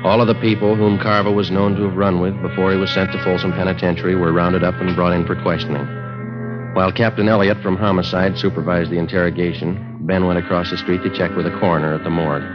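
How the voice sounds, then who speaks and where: Terse male detective actor, very low matter-of-fact voice, vintage radio crime drama, dull recording with music. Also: little pitch movement, quick pace, close to an everyday voice. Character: terse, dogged male police detective who narrates his cases. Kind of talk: 1950s radio drama acting, clipped scripted narration.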